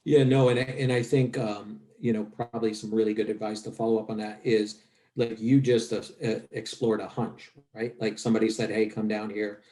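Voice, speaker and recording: A man's voice: fairly low pitch, flat delivery, brisk, warm tone. A man moderating a webinar panel, affirming the guests and asking long questions.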